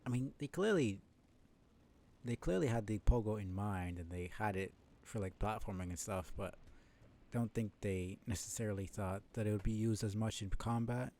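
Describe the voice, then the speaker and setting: A man with a fairly low, soft-edged and dark voice commentates live on his own gameplay. He talks casually and analytically, rambling as he thinks out loud like a streamer. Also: moderate pace. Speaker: streamer playing a video game.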